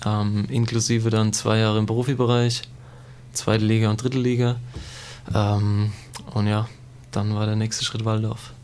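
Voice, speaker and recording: A man's voice: lowish voice, level tone, measured pace, darkish tone, slight background noise. A man in his twenties, raised in Germany, chatting casually about his background as a guest footballer in an interview, with many fillers. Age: 20s.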